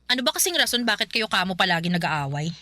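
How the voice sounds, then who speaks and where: An actress playing a blunt, practical older sister who scolds her brother in an exasperated, sharp way. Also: mid-range voice, very animated, fast talker, dry recording, clean studio sound. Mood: questioning.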